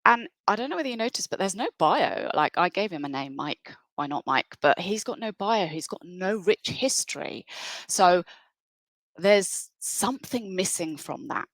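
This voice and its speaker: A woman's voice: on the low side, animated, normal speed, dry recording. A woman presenting a webinar in an enthusiastic, conversational way. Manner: enthusiastic, conversational, with fillers and restarts.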